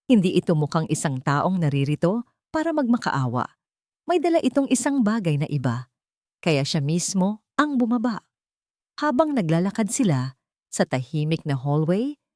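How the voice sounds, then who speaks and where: A woman's voice: on the low side, darkish tone, no room sound, studio quality. A woman narrating a scripted romantic drama as an audio storyteller.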